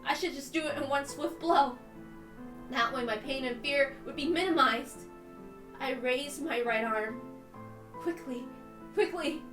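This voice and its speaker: Woman, very high pitch, emotive visual novel narration, tense, deliberate, echoey room, music behind. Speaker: reader on a stream. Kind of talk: dramatic first-person narration of a visual novel. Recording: roomy, distant mic, music behind.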